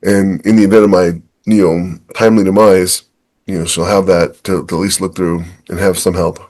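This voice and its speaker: A man's voice: low voice, level tone, brisk, a little mellow. A man co-hosting a podcast in casual banter, with a wry, blunt way of talking. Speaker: podcast co-host and author.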